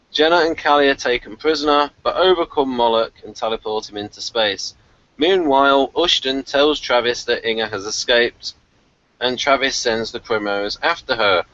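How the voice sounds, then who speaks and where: A man hosting a review show in casual chat, excitable and prone to chuckling as he talks. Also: mid-range voice, dry recording. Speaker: podcast host of a TV review show. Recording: dull, lo-fi, dry recording.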